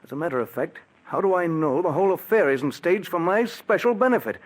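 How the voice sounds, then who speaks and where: A haunted man in a 1940s radio drama. His voice sits somewhat high and moves with wide, expressive swings. He broods at first, then presses his demands with cold insistence. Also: fairly quick. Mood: accusing. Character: haunted, guilt-ridden wealthy man Philip. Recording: old radio broadcast recording.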